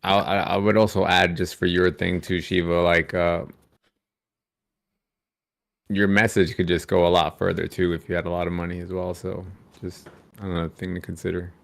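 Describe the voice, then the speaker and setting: A man's voice: low-pitched, level tone, normal speed, creaky. A man guesting on a casual podcast, joking around and plugging his own channel and crypto tips. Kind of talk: casual livestream podcast chat.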